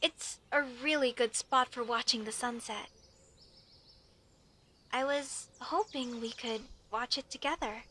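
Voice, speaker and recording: A woman's voice: a little high, a little slow, a little hiss or hum. A woman playing an earnest, proud young female elf mage who speaks expressively and intimately to the listener in an audio roleplay. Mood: soft, sincere. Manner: expressive, chatty, mock-indignant at times, softening to sincere.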